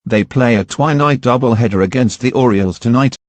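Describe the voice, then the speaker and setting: A man's voice: mid-range voice, brisk, no room sound, close to an everyday voice. A man playing a local morning news anchor, reading scripted stories in a conversational newsreader's manner. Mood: chatty, informative.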